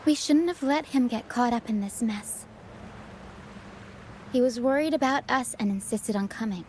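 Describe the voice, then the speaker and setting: A woman voicing a composed, watchful young first mate in a video game, measured and matter-of-fact with a dry edge. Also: fairly high pitch, a little mellow, slight background noise, natural voice. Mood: serious. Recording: background music under the voice.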